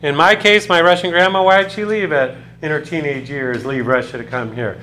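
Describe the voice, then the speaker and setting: A man's voice: a little high, fairly quick, bright, forceful tone, rough recording. A man from the United States giving a conversational classroom lecture, often putting questions to his students. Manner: conversational, poses questions to students, loose with fillers. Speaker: college lecturer.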